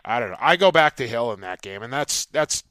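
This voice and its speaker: Clear-voiced man hosting a sports podcast. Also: medium pitch, lively intonation, fairly quick, sharp tone, no room sound. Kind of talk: casual sports chat.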